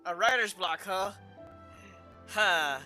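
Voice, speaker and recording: A woman comments on a game as she streams it. Her voice is bright and forward at a middling pitch, with music in the background. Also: slow.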